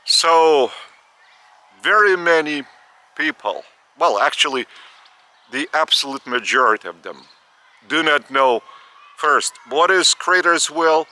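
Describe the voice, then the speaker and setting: Older male spiritual teacher, Lithuanian accent, slow and halting, bright tense tone, outdoors. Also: a little high, animated. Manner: slow, halting, repeats words. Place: outdoors by a school stadium.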